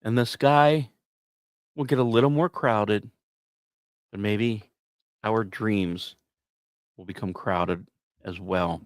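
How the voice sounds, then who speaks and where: A man hosting a podcast, reading scripted narration in a measured, dramatic way with emphatic pauses and casual asides. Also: fairly low pitch, steady tone, clear, resonant tone, no room sound, clean studio sound. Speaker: podcast host.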